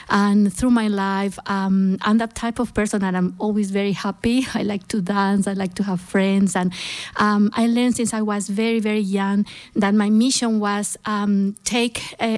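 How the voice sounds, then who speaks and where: Middle-aged woman, Bolivian Spanish accent, mid-pitched, speaking haltingly as a guest sharing personal testimony. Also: a little mellow. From Santa Cruz, Bolivia.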